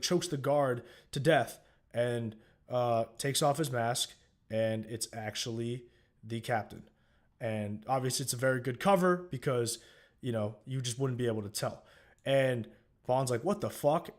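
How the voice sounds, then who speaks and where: A young man co-hosting a casual TV recap podcast, retelling scenes with lots of fillers and restarts. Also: mid-range voice. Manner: animated storytelling with many fillers and restarts. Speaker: podcast co-host.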